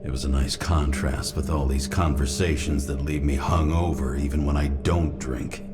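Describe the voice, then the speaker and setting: An extremely deep male voice as a hard-drinking noir detective in a video game. He is brooding, sardonic and worn down by life. Loud music plays behind him. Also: steady tone, normal speed. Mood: brooding, cynical. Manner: brooding, sardonic, world-weary.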